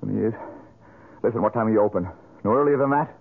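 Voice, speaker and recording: An actor playing a headstrong, indignant young man in a 1970s radio drama, urgent and demanding, with a husky voice. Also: lowish voice, fast talker. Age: young adult. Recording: muffled old radio recording.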